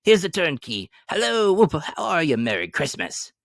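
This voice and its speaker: An elderly reformed miser from a storybook, bursting with giddy glee. The voice leaps up high and swings widely in pitch, full of excitable exclamations. It is close and dry, played as exuberant dramatic reading. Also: male voice, normal speed, vocal fry, no room sound, heavily acted. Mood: gleeful. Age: older. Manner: excitable, exclamatory, whooping outbursts.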